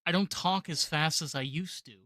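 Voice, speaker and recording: A man's voice: on the high side, normal speed, sharp tone, dry recording, clean studio sound. A man with a New York accent hosting a podcast interview, blunt and opinionated. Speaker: podcast host. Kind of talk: casual interview chat.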